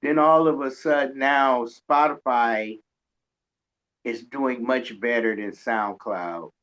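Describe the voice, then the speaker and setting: A man hosting a casual radio interview, talking in a halting way and often repeating words. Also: medium pitch, a bit bright. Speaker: radio show host and interviewer. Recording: dull lo-fi recording.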